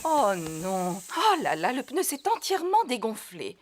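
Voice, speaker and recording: A woman voicing a gentle, practical animal mother in a French-dubbed preschool cartoon, polite and mildly concerned. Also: fairly high pitch, big pitch swings, unhurried, over music.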